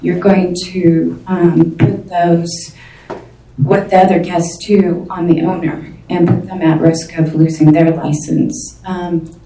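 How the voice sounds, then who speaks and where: Quiet, flustered woman at public comment, low and mellow, distant and roomy. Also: level tone, slow. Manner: soft-spoken and flustered, with many fillers. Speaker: short-term rental owner giving public comment. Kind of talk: public comment at a county board meeting. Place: county board meeting room. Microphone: seated, away from the microphone. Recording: distant, echoey room audio with faint noise.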